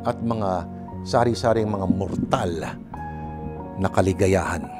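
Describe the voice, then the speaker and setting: A man's voice: deep voice, takes it slowly. A man hosting and narrating a radio drama program, chatty and dramatic, often addressing his listeners directly. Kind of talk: conversational radio hosting and storytelling. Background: music.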